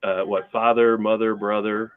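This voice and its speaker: A man's voice: fairly low pitch, flat delivery, moderate pace, clear, resonant tone, no echo. A man hosting a show, chatting casually as he interviews a guest over thin, phone-like audio. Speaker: host.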